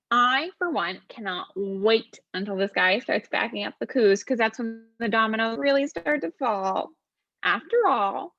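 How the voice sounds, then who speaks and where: A snarky, smug demon played by a woman rambles through a comic stage monologue. The voice is fairly high and casually sardonic. The recording is dry and somewhat dull and lo-fi. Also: a bit bright, no echo, full character voice.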